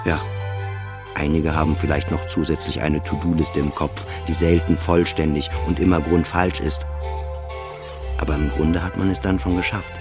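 Deep-voiced introspective man narrating over music. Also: flat delivery, normal speed. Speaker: narrator of an autobiographical radio play. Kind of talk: scripted first-person literary narration.

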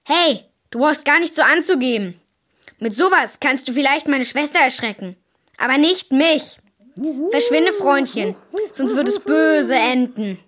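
A man's voice: extremely high pitch, expressive, unhurried, bright, forceful tone, heavily acted. A young male actor playing a cheeky, cool and loyal boy in a children's radio drama, speaking casually. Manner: casual, sarcastic.

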